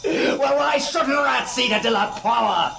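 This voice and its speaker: Creaky-voiced haunted madman. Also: very high-pitched, pushed and bright, full character voice. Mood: manic, raving. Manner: theatrical, slow, brooding, dramatic pauses. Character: haunted, raving nobleman descending into madness, confessing horrors. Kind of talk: old-time radio horror dramatic narration. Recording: clearly noisy radio drama recording.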